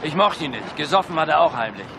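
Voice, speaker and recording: A man's voice: on the high side, very animated, fast. An actor playing a blunt, grudging male construction-site employee under questioning in a radio play. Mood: grudging, gossipy. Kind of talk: German radio play acting. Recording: noisy outdoor site with vehicle ambience.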